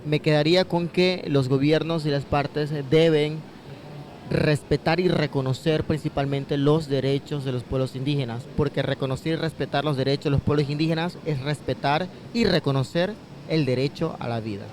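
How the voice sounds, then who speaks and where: A man's voice: fairly high pitch, steady tone, normal speed, a little mellow, a little hiss or hum. Earnest young man from Panama. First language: Guna. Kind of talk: panel remarks.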